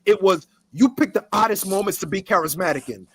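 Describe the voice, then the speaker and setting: Animated husky-voiced man ranting. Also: high-pitched, fairly quick, dry recording. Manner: animated, emphatic, swears, repeats phrases. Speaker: podcast co-host. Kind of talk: casual opinionated chat.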